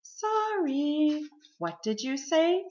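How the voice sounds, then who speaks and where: Coy lady baker for a children's storybook, woman narrator acting: polite, feigning puzzlement, raised pitch, lively inflection. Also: drawn-out words, muffled recording, full character voice. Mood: feigned puzzlement. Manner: politely feigns not hearing.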